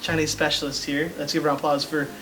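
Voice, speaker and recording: A man's voice: on the high side, little pitch movement, fast, noisy background. A man hosting a casual show, talking with lots of fillers and restarts. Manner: hesitant, many fillers and restarts. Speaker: host. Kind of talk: casual chat.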